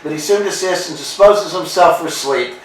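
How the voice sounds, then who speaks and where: A man's voice: a little high, moderate pace, recorded from a distance, a little hiss or hum. A man reading the stage directions aloud in a plain, matter-of-fact way during a play reading. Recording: echoey room picked up from afar.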